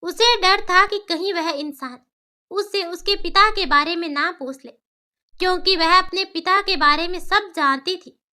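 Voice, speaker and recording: A woman tells a scripted cartoon story. Her voice is extremely high and quite bright, in a storyteller's narrating style. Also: a little slow.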